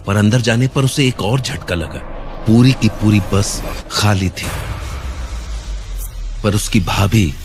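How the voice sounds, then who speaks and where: A man narrating a cartoon horror story in a suspenseful, measured storytelling manner. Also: fairly low pitch, slight background noise.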